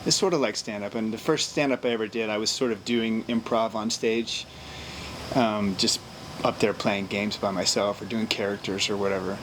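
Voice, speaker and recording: A middle-aged man from Virginia, a comedian, chatting casually in an interview, relaxed and chuckling as he thinks aloud. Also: lowish voice, moderate pace. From Virginia, USA. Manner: relaxed, chuckles, repeats words while thinking. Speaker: comedian guest. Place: on board a cruise ship. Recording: noisy background on a ship.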